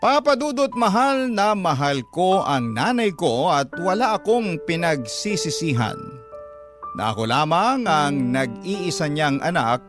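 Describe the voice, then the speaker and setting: A man's voice: on the high side, big pitch swings, a little slow, music in the background. A man playing an earnest, devoted son who narrates his own story in a sentimental, confiding radio drama style.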